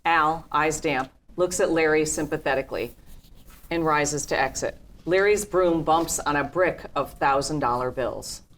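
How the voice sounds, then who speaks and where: Female narrator for a script reading, deep voice, delivering scene directions. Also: measured pace, a bit bright, a little hiss or hum. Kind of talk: scripted narration at a table read.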